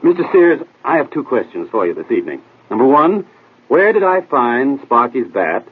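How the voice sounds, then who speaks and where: An American actor playing a confident, urbane young detective in a 1940s radio drama, brisk and self-assured. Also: male voice, medium pitch, sing-song, husky, phone-quality sound. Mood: genial hosting. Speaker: detective drama lead and quiz host. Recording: noisy, band-limited 1940s radio recording.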